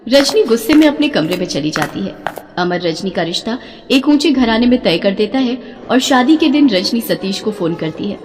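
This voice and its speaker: High-voiced woman narrator with reverb. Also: lively intonation, moderate pace, darkish tone, slight background noise. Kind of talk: scripted dramatic story narration.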